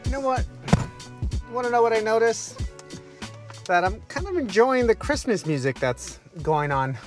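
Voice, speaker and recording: A middle-aged man talks casually to the camera from inside his parked car, wearing a clip-on mic. His voice sits a little high for a man and stays relaxed and chatty. Music plays clearly underneath. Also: moderate pace. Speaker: delivery driver vlogger. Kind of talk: casual vlog narration. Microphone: clip-on lapel mic.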